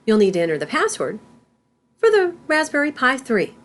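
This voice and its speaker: A woman's voice: sits high, huge pitch swings, moderate pace. A woman instructor reading a scripted tutorial in a careful, instructional way.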